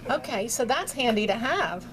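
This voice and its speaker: A woman's voice: fairly high pitch, lively intonation, normal speed, poor audio. A woman conducting an informal interview, asking questions in an attentive, appreciative manner.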